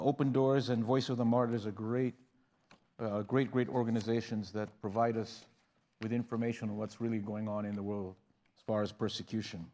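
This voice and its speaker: A man's voice: medium pitch, darkish tone. A man preaching a sermon in a conversational style, often restarting phrases and rising in bursts of emphasis. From Uganda. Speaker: guest preacher.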